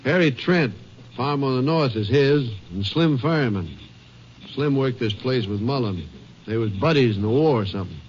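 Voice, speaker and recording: A man's voice: medium pitch, expressive, normal speed, close to an everyday voice. A man plays a rural Texas sheriff, folksy and matter-of-fact, with a drawl. The voice sits mid-range in a dull, faintly noisy old radio drama recording.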